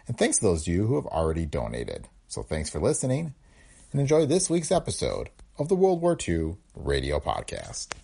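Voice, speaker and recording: A man's voice: low-pitched, huge pitch swings, normal speed, creaky, dark tone, slightly rough. A man hosting a podcast with scripted narration. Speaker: podcast host.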